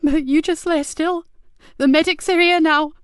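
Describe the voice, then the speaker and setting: A woman's voice: sits high, steady tone, takes it slowly, a little mellow, no room sound, clean studio sound, voice pushed up. A woman voicing a protective female police inspector, urgent and raised. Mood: pleading.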